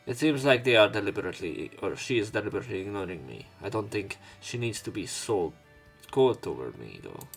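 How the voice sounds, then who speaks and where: A man's voice: lowish voice, big pitch swings, a little fast, vocal fry. A man hosting a let's play, reading a visual novel aloud with casual commentary, often stumbling over words and correcting himself. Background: game music behind the voice.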